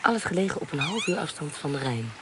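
Low-voiced, commanding schemer. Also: female voice, sing-song, quick pace. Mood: brisk, self-assured. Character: vengeful, commanding woman adventurer and schemer. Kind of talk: Dutch radio drama acting. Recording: noisy radio drama with background ambience.

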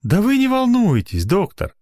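A man's voice: high voice, sing-song, normal speed, pitched above the performer's own voice. A man voicing a sympathetic female nurse in a story, speaking in a soothing, gossipy way.

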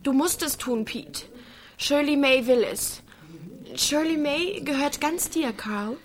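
A woman's voice: high-pitched, animated, takes it slowly. A young woman playing a manipulative village teenage girl in a naturalistic radio drama, needy, coaxing and bent on revenge. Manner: insistent, coaxing.

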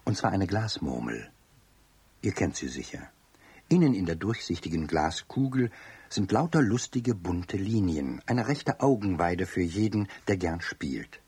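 Male narrator, deep, husky, widely varied melody, children's radio play storytelling, speaks directly to listeners. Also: measured pace, dry recording. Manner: expressive, addressing young listeners directly.

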